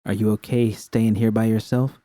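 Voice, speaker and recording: A hard-edged woman mercenary, blunt and quick to curse, with a low and mellow voice, as a male storyteller plays her. Her lines come out clipped and dry, close to the mic. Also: little pitch movement, dry recording, lightly acted. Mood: gentler. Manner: curt and swearing, quick. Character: tough, blunt woman mercenary, short-tempered.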